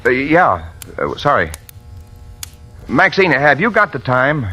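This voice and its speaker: An actor playing a haunted, desperate man on the run in an old radio noir, with tense, halting delivery. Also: medium pitch, sing-song, exaggerated voice. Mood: persistent, evasive. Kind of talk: 1940s-50s radio noir acting, confessional narration and dialogue. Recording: vintage radio drama with loud music.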